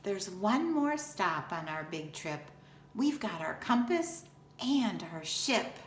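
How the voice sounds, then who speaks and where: A woman in her fifties, a teacher reading a children's picture book aloud in an expressive, deliberate way. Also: mid-range voice. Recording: roomy echo, faint background noise.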